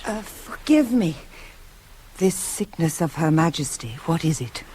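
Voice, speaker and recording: A woman's voice: sits low, sing-song, measured pace, dark tone, slight background noise, rough recording. An actress playing a concerned woman of a royal court in a radio Greek tragedy, formal and earnestly questioning. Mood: anxious concern. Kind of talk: 1980s radio drama acting of Greek tragedy.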